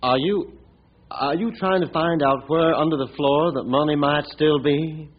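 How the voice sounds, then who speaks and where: An actor playing a wary, stubborn young rancher in an old-time radio western, terse and suspicious with a drawl. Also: male voice, a little high, unhurried. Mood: guarded, curious. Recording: dull, lo-fi old radio recording.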